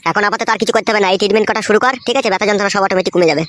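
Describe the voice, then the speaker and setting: A woman's voice: medium pitch, steady tone, rapid-fire, fairly bright tone, no echo, studio quality, lightly acted. A woman voicing a blunt, foul-mouthed male village doctor who scolds people in a comedy cartoon. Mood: bossy, irritated.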